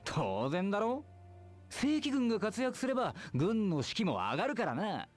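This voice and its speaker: A man's voice: high voice, wildly swooping pitch, fast talker, dry recording, faint music behind. A man playing an arrogant regular army soldier in an anime game, mocking and cocky.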